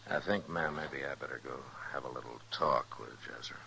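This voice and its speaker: An actor playing a steady, courteous American lawman in a radio western, asking questions in a measured way. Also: extremely low pitch, vocal fry, exaggerated voice. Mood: resolved. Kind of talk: 1950s radio western acting. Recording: noisy old radio drama recording.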